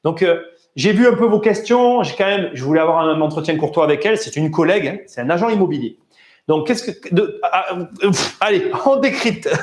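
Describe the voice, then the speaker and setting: A man's voice: high voice, roomy sound. A man from southern France hosting a podcast, asking polite, probing questions on a call and then breaking the call down for his listeners. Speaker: podcast host. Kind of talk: phone interview, then commentary to listeners.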